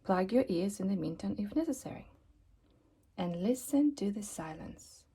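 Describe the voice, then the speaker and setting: Magic-potion merchant for a storybook, voiced by a woman: measured, enigmatic pitch-maker with a soft, creaky tone. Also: animated. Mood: instructive. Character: measured, mysterious seller of magic remedies. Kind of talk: children's storybook character voice.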